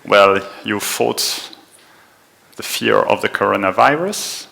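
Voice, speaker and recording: A man's voice: lowish voice, expressive, slow pace, creaky, pushed and bright, poor audio. A man from France in his forties, with a French accent, gives a technical conference talk in a chatty, self-deprecating way, with frequent fillers. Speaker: conference speaker. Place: conference room.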